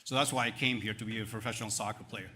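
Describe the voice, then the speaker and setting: A man's voice: lowish voice, steady tone, rapid-fire, sharp tone, slight background noise. A man in his fifties with an Argentine Spanish accent, a banking executive answering questions in a live panel interview, upbeat and promotional, piling up figures with fillers and restarts. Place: event stage.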